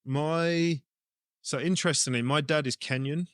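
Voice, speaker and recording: A man's voice: on the high side, steady tone, a little slow, a bit bright, dry recording, studio quality. A man with an English accent talking casually as an interview guest, explaining his ideas informally as he thinks them through. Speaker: rugby coach and club director, interview guest.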